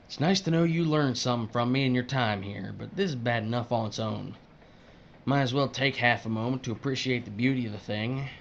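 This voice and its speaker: A man's voice: medium pitch, fairly quick, lo-fi sound, slight background noise, natural voice. A man playing a crude, cynical older worker who strips corpses, needling and folksy, in an audio drama. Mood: leering, wistful.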